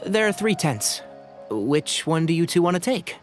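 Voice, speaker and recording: An actor voicing a sensible young man in a video game, caring and practical, with a dry, gently teasing manner. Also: a little high, sing-song, moderate pace, faint music behind. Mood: helpful. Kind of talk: anime-style video game voice acting.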